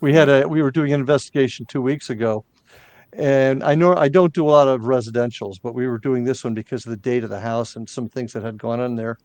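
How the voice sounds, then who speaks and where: A man who leads a paranormal team, telling his story in an animated, punchy way. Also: mid-range voice, a little fast, dry recording. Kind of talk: casual chat.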